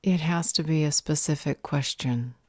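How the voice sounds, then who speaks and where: A woman acting a composed, cryptic vampire healer in an audio roleplay drama, speaking in a measured, polite and dryly sarcastic way. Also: low voice, darkish tone, no echo, clean studio sound. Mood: grave.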